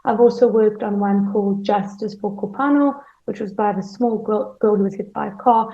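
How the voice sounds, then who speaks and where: A woman's voice: mid-range voice, fairly even intonation, normal speed, creaky, dark tone. A woman explaining her work as an interview guest. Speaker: podcast producer and manager, interview guest. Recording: roomy, dull lo-fi sound.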